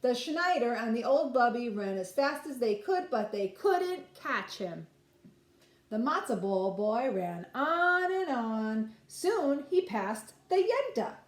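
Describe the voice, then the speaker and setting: A woman reading aloud to young children like a nursery school teacher, expressive and explaining things simply, in a roomy space. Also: fairly high pitch. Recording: roomy, echoing room sound.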